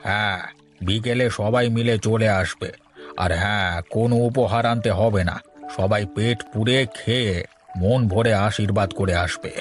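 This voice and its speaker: A man voicing a generous, middle-aged village gentleman in a cartoon, with a hospitable, reassuring manner. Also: on the low side, fairly even intonation, normal speed, faint music behind, lightly acted. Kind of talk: Bengali cartoon dialogue.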